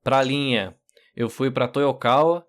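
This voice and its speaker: Homem brasileiro tranquilo, voz média. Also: male voice, mid-range voice, slow pace. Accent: neutral São Paulo Portuguese. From Brazil. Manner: relaxed, self-joking storyteller. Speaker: guest. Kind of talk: casual interview chat.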